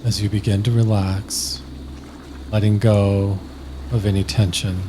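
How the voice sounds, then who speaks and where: A man narrating a scripted guided sleep meditation slowly and soothingly, with long pauses. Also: fairly low pitch, little pitch movement, background noise.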